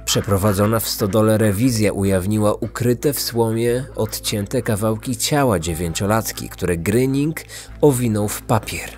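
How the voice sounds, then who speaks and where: A man's voice: fairly low pitch, moderate pace, darkish tone. A man hosting and narrating a true-crime story from a script. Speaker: podcast host, narrator. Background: background music.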